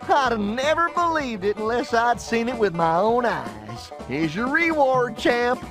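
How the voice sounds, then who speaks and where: A man's voice: very high voice, sing-song, measured pace, full character voice. A man voicing a boastful cowboy showman in a cartoon game, with folksy barker patter. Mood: showy, folksy. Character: boastful cowboy showman challenging bronco riders. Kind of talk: cartoon game fan dub acting. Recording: music clearly behind.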